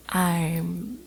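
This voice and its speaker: Woman acting a slow, creaky-voiced tipsy college girl. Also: fairly low pitch, fairly bright tone, slight background noise, full character voice. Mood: teasing. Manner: rambling, giggly, tipsy teasing, drifting into whispers. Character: tipsy playful college girl cosplayer, teasing and affectionate. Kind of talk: ASMR roleplay, intimate casual acting.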